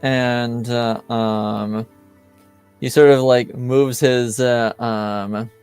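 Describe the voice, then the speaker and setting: A man narrating a tabletop game as game master in a casual, improvised, halting way. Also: mid-range voice, fairly even intonation, a little mellow, smooth, faint music behind.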